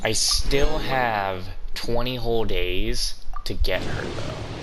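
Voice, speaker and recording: A man's voice: medium pitch, slow, over music, low-quality recording. A man giving casual gameplay commentary, rambling and swearing as he talks to himself. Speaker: gaming streamer. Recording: clear background noise with clicks.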